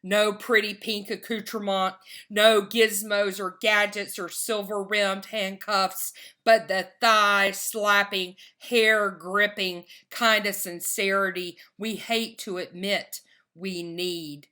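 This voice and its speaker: Female poet, very bright and forceful tone, unhurried pace, emphatic, measured reading of verse. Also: mid-range voice, flat delivery. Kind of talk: poetry reading.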